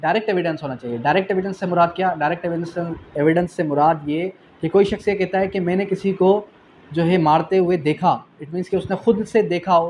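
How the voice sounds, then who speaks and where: Male Pakistani law lecturer, fairly high voice, didactic question-and-answer delivery, English key terms, some background noise. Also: a little mellow. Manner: didactic, asks and answers own questions, repeats key phrases. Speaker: law teacher. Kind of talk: exam revision lecture.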